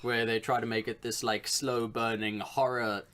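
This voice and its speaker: A man's voice: mid-range voice, little pitch movement, moderate pace, fairly bright tone. A man co-hosting a podcast, chatting casually about films and games in a mid-range voice. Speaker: podcast co-host.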